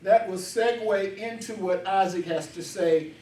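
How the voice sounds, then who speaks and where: Man, seventies, emcee. High voice, informal announcements, picked up from a distance with faint noise behind. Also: unhurried, dark tone. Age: 70s. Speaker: master of ceremonies. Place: echoey indoor gathering room. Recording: echoey room, distant mic, faint noise.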